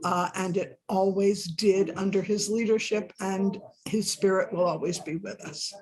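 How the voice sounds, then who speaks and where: Steady woman giving measured remarks. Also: mid-range voice. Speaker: tribute speaker. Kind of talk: prepared spoken remarks.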